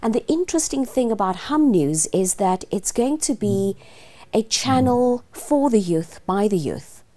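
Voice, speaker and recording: Mellow middle-aged South African woman. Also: mid-range voice, animated, unhurried. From Cape Town, South Africa. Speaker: guest, news trainer and journalist. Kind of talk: measured interview talk.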